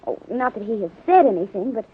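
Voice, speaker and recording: An actress playing an earnest young secretary in a 1930s radio drama, hesitant and emotive. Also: female voice, a little high, very animated, fast, slightly rough, full character voice. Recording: tinny narrow-band 1930s radio recording.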